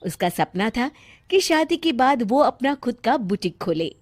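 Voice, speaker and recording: Woman narrating a scripted story, kinda high voice, very animated intonation, dry recording. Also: unhurried, dry recording.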